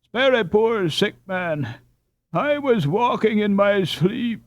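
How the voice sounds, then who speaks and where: Man doing a moaning, high-pitched captured robber. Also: lively intonation, no echo, lo-fi sound. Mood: moaning, pleading. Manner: moaning, pleading, drawn-out. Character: captured male robber, wheedling, pitiful, sly.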